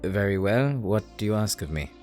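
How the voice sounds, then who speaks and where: A man's voice: on the low side, animated, moderate pace, darkish tone, lightly acted. A man acting in an audio roleplay as a shrewd, devout prisoner who speaks in a formal, probing manner. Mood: wry, wary. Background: music.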